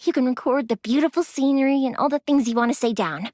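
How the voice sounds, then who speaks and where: A woman's voice: high-pitched, quick pace, no echo, natural voice. An actress voicing a cheerful, playful young woman who makes fireworks, in game character voice lines with a close studio mic. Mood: wistful. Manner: bubbly and lively, giggling, softens for serious stories.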